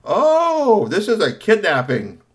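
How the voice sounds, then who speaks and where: A man's voice: medium pitch, huge pitch swings, measured pace, voice pushed up. A man voicing a curious male police officer who thinks aloud with hums. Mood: alarmed.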